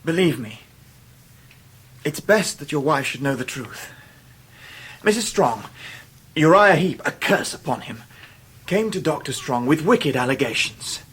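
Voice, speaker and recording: An actor playing an earnest, loyal young Victorian gentleman in a radio drama, with a husky voice. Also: a little high, animated, measured pace, long pauses, heavily acted. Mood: earnest, grave. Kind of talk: period radio drama acting.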